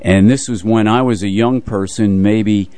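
Older man, low voice, dry close sound. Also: steady tone, normal speed, warm tone, no echo. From United States, New Jersey area. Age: 80s. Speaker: radio music show host. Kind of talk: casual reminiscing radio talk. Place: radio studio.

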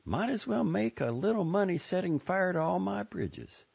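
A sharp-tongued young woman with a dry, brisk way of talking, as a man reads her in a raised voice. The voice is husky, with a gravelly edge. It sits on a dull, muffled audiobook recording. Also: high-pitched, big pitch swings, voice pushed up, full character voice.

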